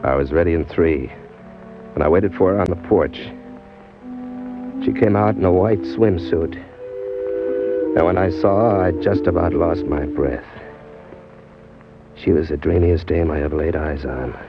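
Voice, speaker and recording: An actor playing a streetwise, boastful American ex-convict drifter who narrates in slangy hardboiled style in an old radio crime drama. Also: male voice, low-pitched, measured pace, pauses often. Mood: smitten, narrating. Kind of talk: old-time radio crime drama acting, first-person narration and dialogue. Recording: dull vintage radio recording, music behind.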